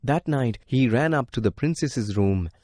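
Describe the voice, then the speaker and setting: A man's voice: medium pitch, lively intonation, a little slow, darkish tone, dry recording, studio quality. A man reading a scripted bedtime story for children as its narrator.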